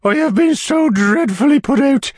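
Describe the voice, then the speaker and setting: A man's voice: very high voice, lively intonation, no echo, clean studio sound, voice pushed up. A man voicing a fluttery, whimpering widow in a storybook, simpering and drawing out the words. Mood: distressed, whimpering. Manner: breathless, drawn-out, simpering. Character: fluttery, whimpering middle-class widow, a matron in distress. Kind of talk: dramatised audiobook character voice.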